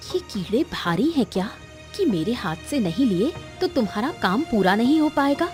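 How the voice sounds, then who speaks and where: A woman's voice: fairly high pitch, big pitch swings, moderate pace, darkish tone, soft background music. A woman voicing a fretful, nagging cartoon wife who complains insistently. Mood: annoyed. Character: fretful nagging wife, squeamish about insects. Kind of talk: Hindi moral-story cartoon dubbing.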